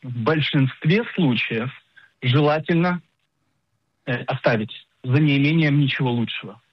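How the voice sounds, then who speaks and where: A middle-aged man explaining things as an expert guest in a radio interview, didactic and emphatic, pausing to search for words. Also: mid-range voice, breathy edge, no echo. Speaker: insurance agent and financial planner, radio guest. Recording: dull lo-fi radio sound.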